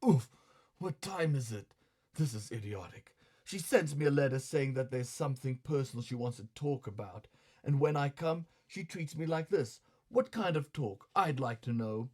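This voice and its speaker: A man's voice: medium pitch, lively intonation, moderate pace, a little mellow, natural voice. A man playing a grumbling, hot-tempered, vain male customer in a comic story, blustering with sarcastic, colloquial indignation. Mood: grumbling, impatient.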